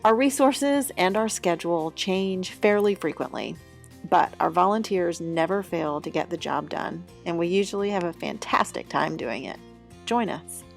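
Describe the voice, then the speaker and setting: A woman giving a steady, informative scripted narration about a church food ministry. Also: on the low side, normal speed, creaky, faint music behind. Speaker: ministry volunteer.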